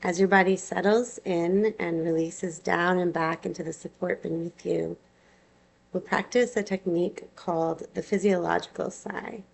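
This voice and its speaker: A woman teaching yoga nidra, guiding a relaxation with soothing, unhurried phrasing and long pauses. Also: on the low side, vocal fry, a little mellow, breathy edge. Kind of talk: guided meditation, gentle instruction.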